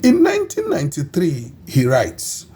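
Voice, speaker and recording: Fervent, gravelly Nigerian preacher. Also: male voice, on the high side, huge pitch swings, mellow. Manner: fervent, emphatic preaching with drawn-out phrases. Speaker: bishop. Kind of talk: preaching and praying in a recorded audio message.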